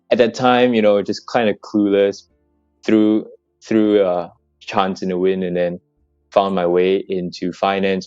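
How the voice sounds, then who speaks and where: A man's voice: low-pitched, a little mellow, dry recording. A young man from Malaysia, a startup co-founder, telling his story casually as an interview guest. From Kuala Lumpur, Malaysia. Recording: dull lo-fi mic, faint music.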